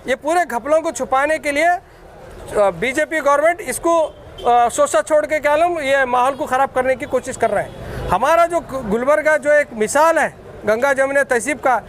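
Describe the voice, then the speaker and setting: High-pitched man with a Deccani Urdu accent. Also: normal speed, fairly bright tone, a little hiss or hum. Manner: emphatic, accusatory, repetitive. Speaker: political activist. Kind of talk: impromptu press statement.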